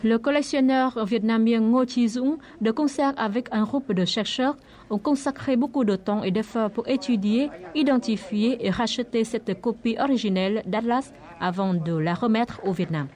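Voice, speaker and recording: A woman reading a scripted television news report in a steady, formal manner. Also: on the high side, normal speed. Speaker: news reader.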